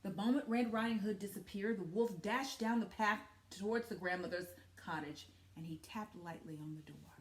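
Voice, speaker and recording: A woman reading a storybook aloud to children expressively, pausing to ask questions and comment. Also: medium pitch, a little hiss or hum. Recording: roomy, echoey sound.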